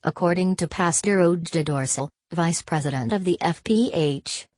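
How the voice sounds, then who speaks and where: A woman's voice: sits low, a little mellow, no room sound. A woman newsreader delivering a scripted news bulletin.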